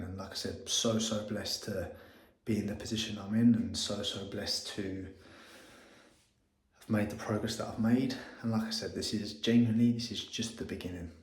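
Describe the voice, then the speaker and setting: A man, talking in a casual, motivational vlog, excitable and swearing often, repeating himself. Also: lowish voice, echoey room, low-quality recording. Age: 20s. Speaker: agency owner vlogging. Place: same room as his earlier videos.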